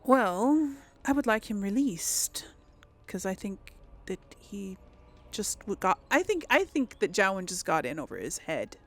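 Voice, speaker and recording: A woman's voice: medium pitch, very animated, measured pace. A woman giving casual commentary on a game she plays, hesitant and thinking aloud. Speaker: game streamer, let's player.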